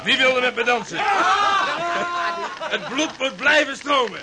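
An actor playing a merry, boisterous seaman in a radio drama, exuberant and shouting. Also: very high-pitched, a little slow, crowd noise, noisy background. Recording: echoey, distant radio drama recording.

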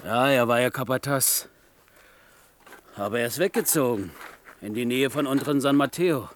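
A man's voice: medium pitch, normal speed, a little hiss or hum, close to an everyday voice. An actor in a radio play voicing a bitter, gossipy laid-off male miner with a colloquial, sardonic way of talking. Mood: bitter, knowing.

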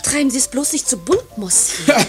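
A woman's voice: sits high, big pitch swings, normal speed, faint music behind. A woman acting in a radio play as a feisty, sensible young barmaid, scolding and dismissive.

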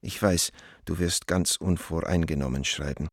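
A low-voiced, worried art dealer. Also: male voice, dry recording, clean studio sound. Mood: anxious, affectionate. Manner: earnest, measured, literary. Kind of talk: radio play letter monologue.

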